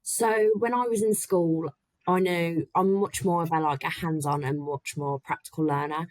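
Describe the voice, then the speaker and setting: A woman in her early twenties talks casually about choosing an apprenticeship, with plenty of "like" fillers. Her voice is low for a woman, and the recording is dry and close. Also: fairly even intonation, normal speed, darkish tone, no room sound, rough recording. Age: early 20s. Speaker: apprentice guest. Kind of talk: casual interview answers.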